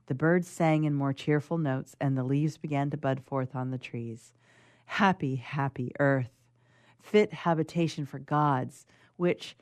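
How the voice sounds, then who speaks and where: A performer reading as Frankenstein's monster, a gentle, hopeful creature, in a formal, lyrical literary style. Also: mid-range voice, no echo, studio quality, lightly acted. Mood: elated, lyrical. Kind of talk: dramatic reading of classic novel.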